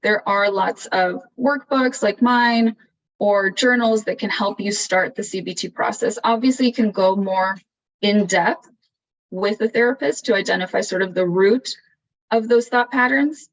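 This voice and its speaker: Soft-voiced female therapist, measured and explanatory; distant, dull video call audio. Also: medium pitch. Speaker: therapist and author. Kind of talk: panel conversation over video call.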